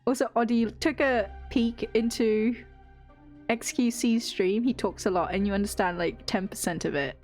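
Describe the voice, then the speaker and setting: A woman's voice: on the high side, steady tone, moderate pace, a little mellow, soft background music. A young British woman streamer, rambling and laughing through casual chat and swearing freely.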